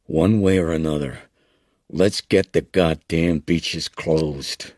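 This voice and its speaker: A man voicing a weary, guilt-ridden small-town police chief with a gruff manner. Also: extremely low pitch, fairly even intonation, takes it slowly, darkish tone, no room sound, clean studio sound. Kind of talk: audiobook character reading.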